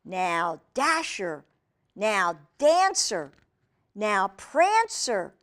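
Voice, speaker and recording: A woman reading aloud to young children in a deliberate, expressive storytime manner, speaking directly to her listeners. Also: mid-range voice.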